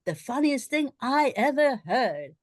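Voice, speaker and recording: A woman playing a breezy, teasing upper-class gentleman in a comic period radio play, jaunty and mocking with posh old-fashioned diction. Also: high-pitched, big pitch swings, unhurried, dry recording, studio quality, heavily acted. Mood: gleeful mockery.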